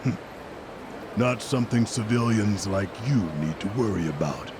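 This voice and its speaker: An actor playing a curt, intimidating yakuza patriarch in a video game cutscene. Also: male voice, lowish voice, animated, moderate pace, lightly acted. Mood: curt, threatening. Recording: urban outdoor noise behind.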